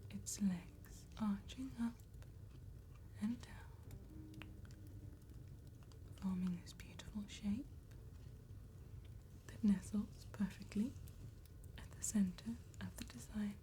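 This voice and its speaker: Very slow, whispering vampire tattooist played by a woman. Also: medium pitch, close to an everyday voice. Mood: calm, courteous. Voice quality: breathy whisper. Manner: formal, unhurried, precise, gentle. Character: elegant, courteous female vampire tattoo artist presenting gothic designs. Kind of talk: soft-spoken ASMR roleplay, mostly whispered.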